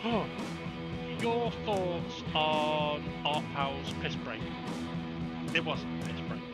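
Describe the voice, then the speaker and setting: A man commentating on an online sim race, chatty and excitable, with lots of ums. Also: a little high, slow. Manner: excitable, chatty, with many fillers. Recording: loud music under the voice.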